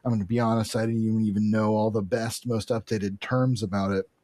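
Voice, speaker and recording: A man's voice: on the low side, little pitch movement, brisk, mellow, no room sound. A man co-hosting a podcast in casual discussion, talking in a hedging, discursive way that often corrects itself. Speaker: podcast co-host. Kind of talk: casual comic book discussion.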